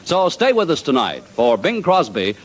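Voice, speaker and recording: A man's voice: on the high side, wildly swooping pitch, moderate pace. A man announcing in 1940s radio style, delivering a promotional read. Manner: smooth, promotional. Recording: old radio broadcast with music.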